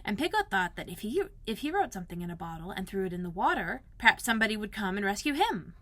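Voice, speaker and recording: A woman reading a children's story aloud as a librarian, expressive, with dramatic emphasis and repetition. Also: mid-range voice, fast, dry recording.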